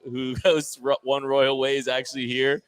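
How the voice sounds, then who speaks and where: A man's voice: medium pitch, flat delivery, normal speed, clear, resonant tone. A man hosting a live draft stream from a sports bar, chatting casually, laughing often and announcing picks.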